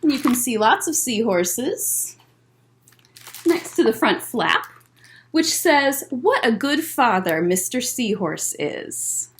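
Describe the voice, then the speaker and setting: A woman hosting a story time for young children, talking and reading aloud in an encouraging, animated way with simple, child-directed phrasing. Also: fairly high pitch, takes it slowly, slight background noise.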